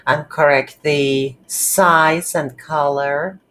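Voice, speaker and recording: A tutorial instructor thinking aloud in a halting, unscripted way while demonstrating game development on a computer. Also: medium pitch, steady tone, a bit bright. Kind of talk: unscripted screen-recorded software tutorial. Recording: background hiss, keyboard typing noise.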